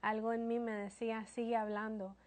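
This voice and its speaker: A woman, telling her testimony as a guest in an earnest, steady way. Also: on the high side, moderate pace. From Colombia.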